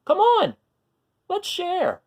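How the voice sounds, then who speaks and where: Man putting on a high, whiny young dinosaur. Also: wildly swooping pitch, very slow, a little mellow, exaggerated voice. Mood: pleading. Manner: emotional, pleading and exclaiming. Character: bossy young male dinosaur, whiny, craving friends.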